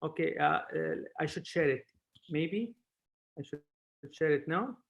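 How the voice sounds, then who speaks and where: English-speaking man from Spain, explaining haltingly. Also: a little high, lively intonation, muffled recording. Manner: halting non-native English, repeating words. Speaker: surgeon lecturer, webinar speaker. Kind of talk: informal expert talk on a video webinar. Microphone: video call.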